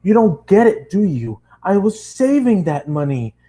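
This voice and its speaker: A man voicing his own enraged younger self in a spoken story, shouting at a companion after losing his job. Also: mid-range voice, animated, takes it slowly. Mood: furious.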